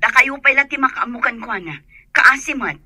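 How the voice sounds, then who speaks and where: An actress playing a brisk, bossy madam in an Ilocano radio drama, speaking with an Ilocano accent. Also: female voice, high-pitched, animated, pushed and bright, slightly rough, no echo, heavily acted. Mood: urgent, instructing.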